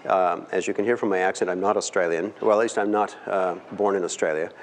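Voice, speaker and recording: Middle-aged male lecturer, low voice, earnest and emphatic, long hall reverb. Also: steady tone, a little hiss or hum. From California. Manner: earnest, emphatic, with pauses and fillers. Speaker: guest speaker. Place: large dim lecture hall. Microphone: far camera.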